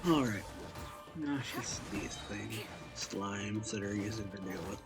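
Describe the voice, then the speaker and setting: A man giving casual, low-key let's play commentary, unhurried and muttering as if to himself while he plays. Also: over loud music. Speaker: gaming streamer.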